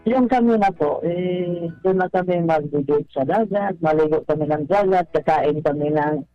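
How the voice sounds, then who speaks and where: A middle-aged man calling a radio show, telling his story casually in a halting, deferential way, repeating his phrases. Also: on the high side, dry recording. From Philippines, Visayas or Mindanao. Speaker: caller to a radio advice show. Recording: dull lo-fi phone call, faint music.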